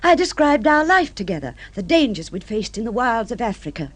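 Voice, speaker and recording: An actress playing a middle-aged social-climbing wife and fantasist in a radio drama, with a gushing, theatrical, affected manner. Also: female voice, on the high side, very animated, brisk. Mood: boastful, excited. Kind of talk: mid-century radio drama acting.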